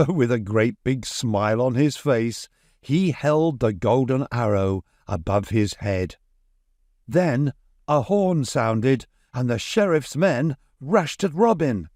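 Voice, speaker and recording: A man narrating a scripted bedtime story for children in an expressive, unhurried storytelling manner. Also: mid-range voice, no echo, studio quality.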